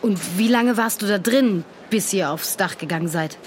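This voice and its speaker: An actress playing a sceptical adult investigator in a radio drama, questioning in a probing, insistent way. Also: female voice, medium pitch, moderate pace, a little hiss or hum, lightly acted. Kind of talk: naturalistic German radio drama acting.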